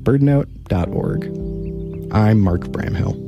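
A man hosting a short radio program, reading a scripted introduction in a calm, even delivery. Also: fairly low pitch, slow pace, muffled recording. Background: guitar music.

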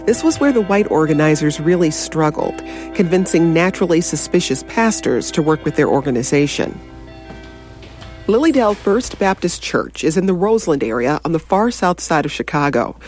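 A documentary narrator reading a polished script with lively, rising and falling intonation. Soft music plays faintly behind the voice. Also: medium pitch, normal speed.

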